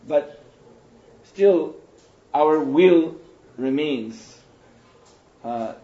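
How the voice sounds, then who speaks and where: A man speaking as a spiritual teacher in a question and answer session, thoughtful and unhurried, pausing often. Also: a little high, animated. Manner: thoughtful, unhurried, with pauses and soft laughs. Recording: roomy hall, muffled and lo-fi.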